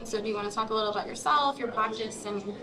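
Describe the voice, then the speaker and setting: Upbeat lady interviewer, slightly high voice, loud crowd chatter behind her. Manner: upbeat, quick affirmations, laughs often. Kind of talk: event interview hosting. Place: crowded reception hall.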